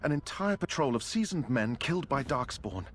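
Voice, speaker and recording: An actor playing a nervous young knight who keeps protesting the danger. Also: male voice, mid-range voice, big pitch swings, moderate pace, a little hiss or hum, close to an everyday voice. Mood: anxious. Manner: anxious, protesting.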